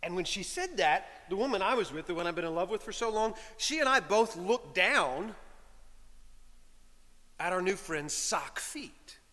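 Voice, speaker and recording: A middle-aged man, a priest, telling a story in a sermon from the pulpit, animated and shifting between loud lines and hushed whispers. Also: high voice, normal speed. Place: large stone church, speaking from a pulpit. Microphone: pulpit microphone. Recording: long church reverb.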